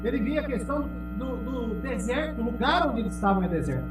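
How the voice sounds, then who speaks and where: A man's voice: animated, fast talker. Brazilian male pastor, emphatic sermon delivery, distant mic in a very echoey hall, music underneath. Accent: Brazilian Portuguese. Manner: emphatic preaching, calls out to the congregation, drops low and loud on key words. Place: church hall. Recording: echoey, from far off, music behind.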